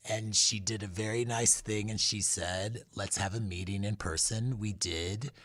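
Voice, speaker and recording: Low-voiced man telling stories casually. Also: steady tone, unhurried, a bit bright, no room sound. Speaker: author and interview guest. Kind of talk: casual conversational storytelling.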